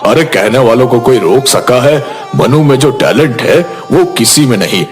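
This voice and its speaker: A man playing an earnest village headman and father of a bride in an animated moral story. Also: mid-range voice, moderate pace, faint music behind, lightly acted. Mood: grateful, admiring.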